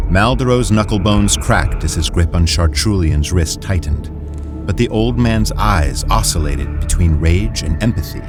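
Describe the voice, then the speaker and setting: An actor as a grave storyteller, giving scripted dramatic narration in a solemn, measured way. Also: male voice, low voice.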